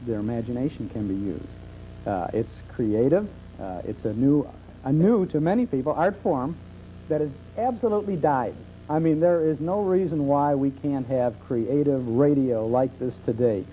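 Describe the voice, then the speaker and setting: A middle-aged man being interviewed as a talk show guest, explaining things conversationally with hesitant pauses. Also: mid-range voice, warm tone. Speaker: talk show guest, old-time radio enthusiast. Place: television studio. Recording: muffled 1970s television tape with hum.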